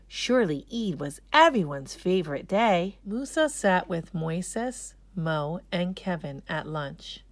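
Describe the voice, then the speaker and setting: Female storybook reader, animated intonation, slow and clear phrasing, dry close sound. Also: fairly low pitch, no echo. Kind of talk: expressive children's read-aloud narration.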